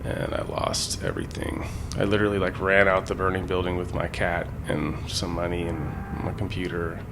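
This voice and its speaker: Gravelly, low-voiced man. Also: animated, moderate pace, noisy background. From San Francisco Bay Area, US. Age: 40s. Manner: loose, slangy, rambling. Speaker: interview guest, graffiti artist. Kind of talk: casual reflective interview talk.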